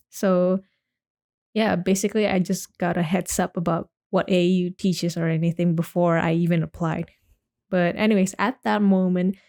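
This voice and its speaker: A woman's voice: medium pitch, mellow. A young woman from Indonesia hosting her own podcast, talking casually and rambling with lots of "like" and restarts. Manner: chatty, rambling, with many likes and restarts. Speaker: podcast host. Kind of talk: casual solo podcast talk.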